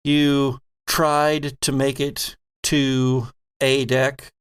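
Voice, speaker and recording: A man narrating a horror tabletop game as game master, telling the story deliberately and dramatically with pauses. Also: mid-range voice, flat delivery, clear, resonant tone, no room sound, clean studio sound.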